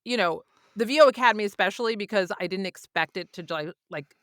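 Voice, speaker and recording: A woman's voice: medium pitch, fast, dry recording, clean studio sound. An American woman from New York chatting casually as a podcast host, with an effusive, gushing manner. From New York, United States. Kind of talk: casual chat.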